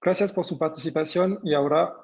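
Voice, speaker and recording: A man co-presenting a webinar, putting quiz questions and answers in a halting way, searching for words. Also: on the high side, gravelly, darkish tone, husky.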